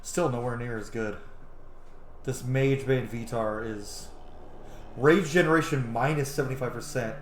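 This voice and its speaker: A man giving casual, animated gameplay commentary as a streamer, rambling into asides and swearing freely. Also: mid-range voice, unhurried, pauses often, echoey room, slight background noise. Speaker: game streamer on own channel. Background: game music.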